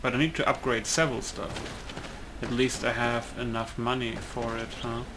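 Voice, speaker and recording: A man's voice: mid-range voice, little pitch movement, moderate pace. Guy doing a chill lets play, kinda mumbling his thoughts, noisy background. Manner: muttering to himself, thinking aloud. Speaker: gamer playing through a video game. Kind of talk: casual let's play commentary.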